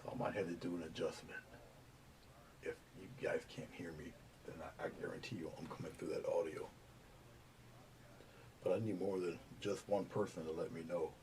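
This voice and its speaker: A soft-spoken man with a low voice that does not project, talking casually to his live viewers as he paints at home. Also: flat delivery, moderate pace, pauses often. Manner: soft-spoken, mumbling asides while working. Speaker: painting livestream host. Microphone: camera's built-in mic, not the lapel mic. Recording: noisy, low-volume webcam audio.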